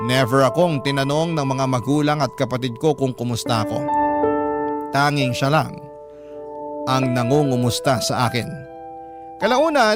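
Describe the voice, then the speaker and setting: A male radio host reads a personal letter as a dramatic story. His mid-pitched voice sits over clearly audible instrumental music. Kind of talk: dramatic first-person reading of a listener's letter.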